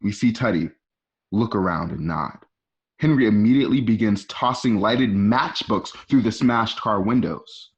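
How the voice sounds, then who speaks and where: A man's voice: on the low side, normal speed, muffled recording. A man reading screenplay stage directions aloud as the narrator of a radio play. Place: at home.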